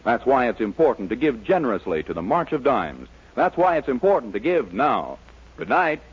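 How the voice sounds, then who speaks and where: Lively American male 1940s radio announcer. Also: medium pitch, moderate pace, over music. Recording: dull, lo-fi 1940s radio recording.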